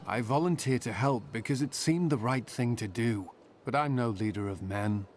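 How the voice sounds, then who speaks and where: An actor playing a humble farmer turned reluctant militia captain in a fantasy game, earnest and self-doubting. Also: male voice, mid-range voice, normal speed, soft background music, close to an everyday voice. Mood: humble, burdened. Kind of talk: fantasy game character acting.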